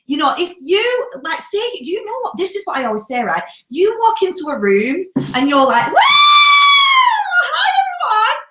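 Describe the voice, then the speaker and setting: Upbeat businesswoman with a bright voice. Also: very high-pitched, wildly swooping pitch, unhurried, roomy sound, slight background noise. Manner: upbeat, encouraging, addresses viewers directly. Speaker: businesswoman and co-host of a confidence talk. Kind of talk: casual live-stream coaching chat.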